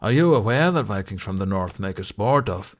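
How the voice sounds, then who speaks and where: A man voicing a smug, long-suffering Viking mercenary leader in an audiobook, measured and condescending. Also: lowish voice, expressive, natural voice. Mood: probing.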